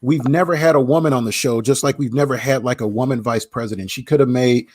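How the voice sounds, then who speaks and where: A man's voice: mid-range voice, steady tone, fast talker, darkish tone. A man co-hosting a live talk show, teasing his guests in a playful way. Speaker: co-host. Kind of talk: live casual chat.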